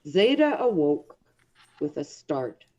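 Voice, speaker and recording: A woman narrates a story in a dark, soft-edged voice. Her reading is expressive and deliberate, with dramatic phrasing. The recording is somewhat dull and lo-fi. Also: low voice. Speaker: audiobook reader.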